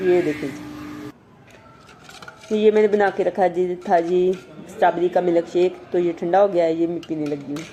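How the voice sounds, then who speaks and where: A woman from Pakistan with a Pakistani Urdu accent, vlogging her daily routine at home, chatting softly with a hoarse voice from a sore throat. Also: medium pitch, heavy background noise. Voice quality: soft, chatty, full of blessings and "ji".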